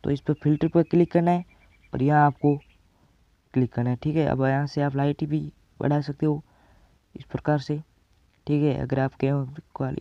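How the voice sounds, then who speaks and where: Young male tutorial host, teens, from India. Informal step-by-step explaining, frequent check-ins, muffled low-grade recording. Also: dry recording. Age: teenager. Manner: addresses viewers as friends, checks in often. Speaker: tutorial presenter. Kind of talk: casual step-by-step video editing tutorial.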